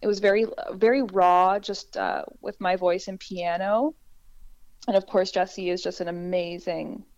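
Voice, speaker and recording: A woman singer-songwriter with a low voice, talking through how she wrote a song in a relaxed, reflective way with frequent restarts. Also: unhurried, lo-fi sound. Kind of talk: conversational interview.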